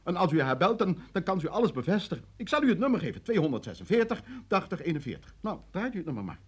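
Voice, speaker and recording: An actor playing a flustered, indignant man under questioning in a radio drama, halting, defensive and husky. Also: high voice, big pitch swings, exaggerated voice. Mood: agitated, insistent. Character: flustered, indignant male traveller under interrogation.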